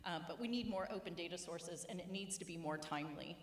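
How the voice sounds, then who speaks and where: A woman giving a conference talk at a podium in a large auditorium, steady and explanatory as she sums up. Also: lowish voice, quick pace. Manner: concluding summary, steady and explanatory. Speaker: conference speaker. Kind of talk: conference presentation. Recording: roomy hall with long reverb.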